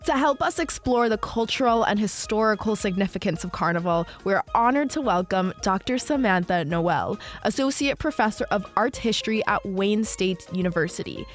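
A woman's voice: mid-range voice, expressive, normal speed, few pauses. A woman from Trinidad and Tobago with a Trinidadian accent, hosting an interview with a polished, formal presenter delivery. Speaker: interview host. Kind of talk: scripted introduction and interview questions. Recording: faint music underneath.

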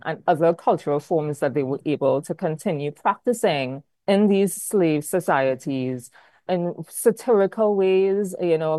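Woman, low voice, professor explaining history, dry close sound. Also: dark tone, no room sound. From Trinidad and Tobago. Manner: thoughtful, explanatory, with fillers like "kind of, like". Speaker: art history professor, guest. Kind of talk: academic interview answers.

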